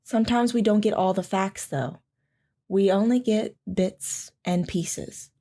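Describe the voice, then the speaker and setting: A woman plays a reflective radio guest, a philosophical mother. She speaks at a middling pitch, in an audio drama interview style. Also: unhurried, warm tone, lightly acted.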